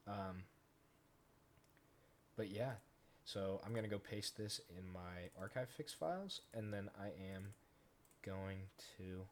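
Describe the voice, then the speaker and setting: A man casually talking through a software tutorial step by step, in an informal way. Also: lowish voice, takes it slowly, long pauses. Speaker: tutorial host, gaming content creator. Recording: noisy home recording with keyboard clicks.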